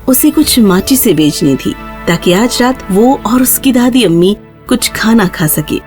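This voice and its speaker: A woman's voice: fairly high pitch, animated, darkish tone, faint music behind. A woman narrating a scripted fairy tale for children as the storyteller.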